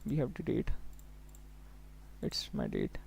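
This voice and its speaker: A man working as a software trainer, giving a step-by-step live tutorial in an instructional way, often prompting listeners to follow along. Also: extremely low pitch, huge pitch swings, takes it slowly, gravelly, a little hiss or hum. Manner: instructional, often checking in with okay and look here.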